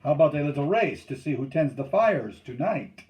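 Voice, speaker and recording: A hearty canoe steersman, put on by a male storyteller, barking to rally his crew. The voice jumps up high and loud, spirited and in command, as in an adventure storybook. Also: sing-song, a little fast.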